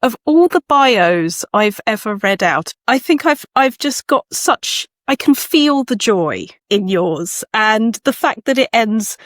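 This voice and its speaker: A woman's voice: on the high side, lively intonation, takes it slowly, hardly any pauses, no echo. Woman podcast host, close dry sound. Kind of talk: read introduction and conversational interview.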